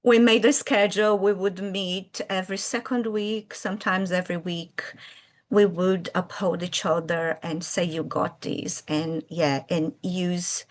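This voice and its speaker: A woman from Brazil whose first language is Portuguese, speaking English with a Brazilian accent and answering interview questions in a hesitant way, pausing with fillers as she searches for words. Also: fairly low pitch, fairly even intonation. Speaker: guest, children's author.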